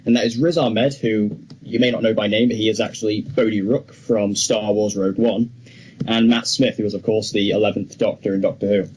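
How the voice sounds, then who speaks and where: A man's voice: lowish voice, fairly even intonation, brisk, a little mellow, slight background noise, rough recording. A young man co-hosting a film podcast, talking casually and giving his opinions freely. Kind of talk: casual discussion of film news.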